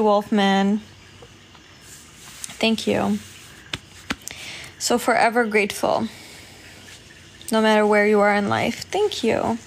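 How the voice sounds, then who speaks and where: Woman livestream host from Poland; chatty and giggly, slow delivery, phone mic with faint background noise. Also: fairly high pitch. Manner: chatty, giggly, thanks viewers often. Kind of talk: casual livestream chat reading comments.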